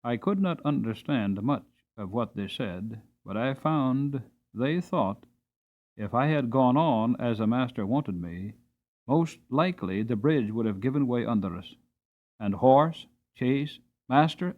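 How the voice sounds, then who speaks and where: A man reads a storybook as a dramatic narrator. His delivery is expressive and shifts in pace, at an average pitch. The recording is slightly dull. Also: unhurried. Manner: expressive, with varied pacing. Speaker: audiobook narrator. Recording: slightly dull, band-limited audio.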